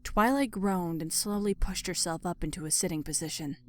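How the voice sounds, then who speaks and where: A woman's voice: on the low side, moderate pace, darkish tone. A woman reading scripted story narration as the narrator. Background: music.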